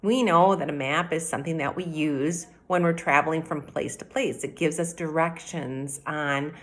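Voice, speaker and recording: A middle-aged woman, a teacher giving a read-aloud mini lesson, explaining things directly to children in an animated way. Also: deep voice, normal speed. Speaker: elementary teacher. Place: indoor room at home by a window.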